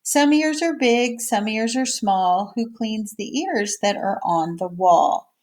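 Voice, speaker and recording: A woman's voice: fairly high pitch, slow pace, darkish tone. Woman reading aloud and explaining to children. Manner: explains simply, checking in with okay and all right. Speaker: teacher reading a picture book aloud.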